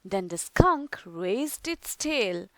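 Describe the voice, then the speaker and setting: A woman reading a children's picture book aloud, slowly and expressively. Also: medium pitch, sing-song, no room sound, rough recording. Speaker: storyteller.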